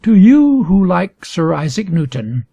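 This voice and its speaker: A man reading a scripted educational story aloud for English learners with deliberate, emphatic phrasing. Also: high-pitched, big pitch swings, gravelly, dark tone, husky, no room sound, muffled recording. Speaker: reader.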